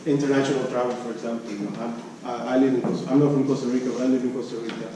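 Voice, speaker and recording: A man presenting research at an academic conference, speaking haltingly and often repeating and restarting his phrases. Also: mid-range voice, steady tone. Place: conference room. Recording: echoey room, distant mic, background noise.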